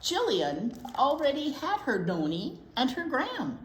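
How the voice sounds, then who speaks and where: A woman reading a picture book aloud to young children in an expressive storytime style, pausing to wonder aloud. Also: high-pitched. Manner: animated, pauses to wonder aloud and question young listeners. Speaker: children's storytime reader at a library. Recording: roomy echo with clear background noise.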